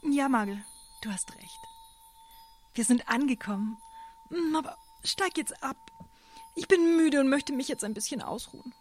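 A woman's voice: high voice, expressive, moderate pace, long pauses, faint music behind. A woman playing a snooty, scoffing talking tuber plant in a children's radio play. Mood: tired. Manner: snooty, scoffing, exclaiming and giggling. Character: haughty, mocking, high-voiced talking tuber plant.

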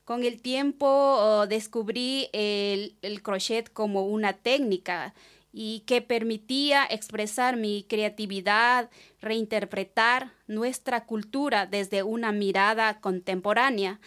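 A woman speaks at a slow pace. Her voice is average in pitch. She answers formally as an interview guest, as though from a prepared text. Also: fairly bright tone, clear, resonant tone. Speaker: guest, textile artisan.

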